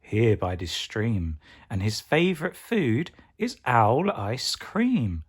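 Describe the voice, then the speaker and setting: A man's voice: fairly low pitch, sing-song, slow, slightly rough, exaggerated voice. A man voicing a small, clever, boastful storybook mouse with a confident, sing-song delivery. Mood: cheeky.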